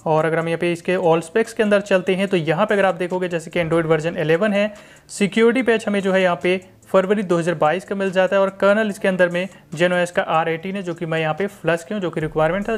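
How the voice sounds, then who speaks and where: A young man with an Indian accent, narrating a hands-on tech review in an informal, explanatory way. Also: sits high. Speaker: tech reviewer, channel host.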